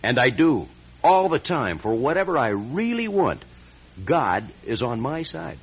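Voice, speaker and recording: A man's voice: mid-range voice, very animated, slightly rough, exaggerated voice. A man playing a brash, pushy, self-assured aspiring disc jockey in a radio drama, eager and insistent. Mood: cocky, excited. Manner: eager, insistent, fast-talking salesman. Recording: dull old radio recording.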